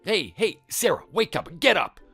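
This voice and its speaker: A man's voice: medium pitch, big pitch swings, measured pace, a bit bright, dry recording, soft background music, exaggerated voice. A man acting a defensive, flustered husband caught cheating in a dramatized audio story, stammering out excuses. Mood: panicked, pleading.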